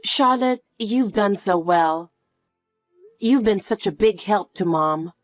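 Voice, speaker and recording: A woman as a calm, soothing mother. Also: mid-range voice, no room sound, clean studio sound, soft background music. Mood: reassuring. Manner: soft, slow, reassuring. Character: calm protective mother soothing her daughter. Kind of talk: dramatic dialogue.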